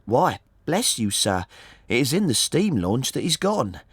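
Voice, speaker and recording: Man acting working-class housewife, pitched well up, anxious, talkative, colloquial, deferential, storybook character, dry recording. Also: very animated, measured pace, slightly rough, no echo. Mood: worried, chatty. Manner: chatty, colloquial, deferential, saying sir often. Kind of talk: audiobook character voice.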